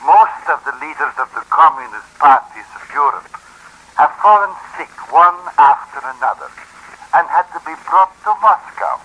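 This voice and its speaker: A man delivering deliberate, sardonic scripted commentary as a 1950s radio commentator. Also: fairly high pitch, animated, pushed and bright, slightly rough. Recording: dull, lo-fi 1950s radio broadcast.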